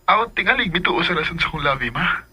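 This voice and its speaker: A man's voice: high-pitched, animated, fast talker, bright, forceful tone, breathy edge, no echo, heavily acted, low-quality recording. An actor playing a lovestruck grown son pleading with his mother for happiness in a Philippine radio drama. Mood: eager, pleading.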